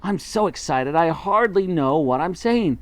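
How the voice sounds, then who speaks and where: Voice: medium pitch, lively intonation, a little slow, no room sound, voice pushed up, lightly acted. A reader doing a frantic, bossy monkey dad. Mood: excited. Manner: shouting, urgent commands.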